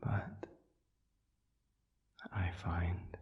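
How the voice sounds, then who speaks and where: A man voicing a calm, wise demon counselor in an intimate audio roleplay, soft and breathy, speaking in measured phrases with deliberate pauses. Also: phone-quality sound. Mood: shy, fond. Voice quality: breathy, whispery, very low.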